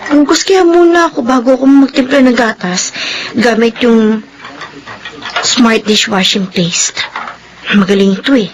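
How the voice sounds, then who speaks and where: A woman's voice: fairly high pitch, measured pace, long pauses, rough recording. A woman with a Filipino accent playing a timid, vulnerable young schoolgirl in a radio drama, with a hesitant, pleading manner. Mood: weary. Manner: stammering, pleading.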